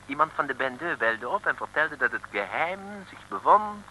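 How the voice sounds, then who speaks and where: Excitable French police inspector, swooping pitch. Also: male voice, moderate pace, slight background noise, rough recording. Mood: earnest, confiding. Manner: formal, emphatic, sprinkled with French. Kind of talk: 1950s-60s radio serial acting.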